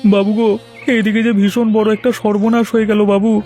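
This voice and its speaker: A man voicing a timid, poor village errand man in a cartoon, creaky and plaintive as he pleads and laments. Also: high-pitched, flat delivery, moderate pace, dark tone, faint music behind, close to an everyday voice. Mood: pleading. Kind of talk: cartoon voice acting.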